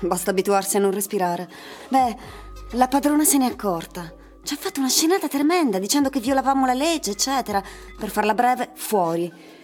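An actress as a chatty young woman in a radio play, with a fairly high voice and a wry storytelling manner. Faint music plays underneath. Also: lively intonation, brisk, a little mellow, natural voice. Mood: wry, aggrieved. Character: Ellen, chatty young woman, evicted library clerk. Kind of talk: Italian radio drama acting.

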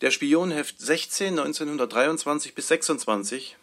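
A man's voice: fairly high pitch, moderate pace, fairly bright tone. A man formally announcing titles and dates in a literary radio play. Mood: matter-of-fact. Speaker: announcer of headings.

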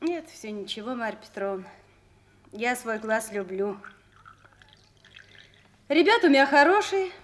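An actress playing an impatient young officer's wife in a Russian radio drama, with an emotional, plaintive manner. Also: female voice, fairly high pitch, expressive, a little slow, long pauses. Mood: subdued. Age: young adult.

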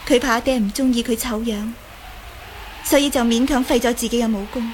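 A woman's voice: on the high side, fairly even intonation, fairly quick, a little mellow, close to an everyday voice. An actress playing a bitter, defiant young martial arts woman in a Cantonese radio drama. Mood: proud, bitter. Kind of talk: Cantonese audio drama acting. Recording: faint background noise.

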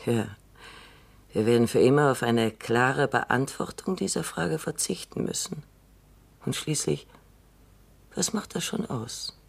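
An actress playing Doctor Calvin, a shrewd, dry and assured elderly woman robot psychologist, speaking in a measured, knowing way in a radio drama. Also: rumbling low, creaky, breathy edge, heavily acted. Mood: wry.

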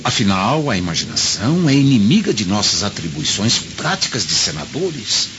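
Sceptical Roman senator friend, lowish and emphatic, theatrical radio-play style, muffled recording with storm noise. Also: male voice, very animated, normal speed. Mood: sceptical, admonishing. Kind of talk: dramatic radio play acting. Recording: dull lo-fi audio with storm noise.

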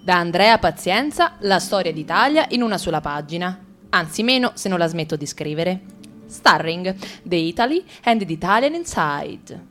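A woman's voice: fairly low pitch, animated, moderate pace, a bit bright, soft background music. A woman narrating a satirical sketch for a radio play in a wry, ironic tone.

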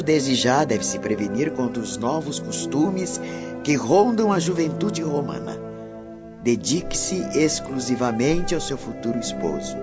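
A woman's voice: very deep voice, full character voice. An actress playing a prim old Roman grandmother in a radio drama, solemn and preaching. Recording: dull lo-fi audio, music behind.

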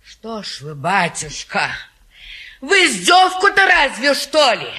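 A woman's voice: fairly high pitch, wildly swooping pitch, takes it slowly, sharp tone, exaggerated voice. An actress playing a scandalised, scolding aunt in her fifties in a classic Russian stage comedy, with theatrical, reproachful, folksy speech. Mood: outraged scolding.

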